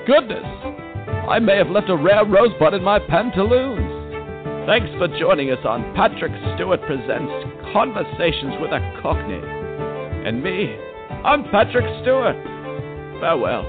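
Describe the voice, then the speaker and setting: Comedy sketch: pompous theatrical English gentleman presenter, acted by a man, swooping delivery, tinny audio over music. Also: sits high, big pitch swings, moderate pace. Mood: pompous, genteel. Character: grand theatrical English gentleman, spoof celebrity talk-show presenter.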